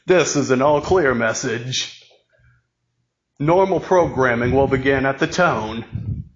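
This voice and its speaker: A man slowly reading a mock emergency broadcast in a solemn announcer voice, stumbling and repeating words as he goes. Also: medium pitch, lo-fi sound.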